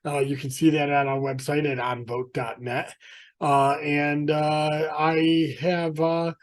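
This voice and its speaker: Host, male, fairly high voice, hesitant delivery full of fillers, on a video call. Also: flat delivery. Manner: halting, many filler sounds. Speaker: podcast host and elections commissioner. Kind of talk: casual video-call interview.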